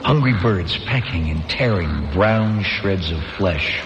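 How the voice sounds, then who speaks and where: An actor narrating as a weary male survivor of an invasion, in measured, somber first person for a radio drama with music. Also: deep voice, lively intonation, lo-fi sound, noisy background. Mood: solemn. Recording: music bed under the voice.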